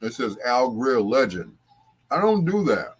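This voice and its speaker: A man's voice: medium pitch, very animated, unhurried, breathy edge. A man hosting a casual livestream, talking to viewers in a rambling, conversational way. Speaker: livestream host.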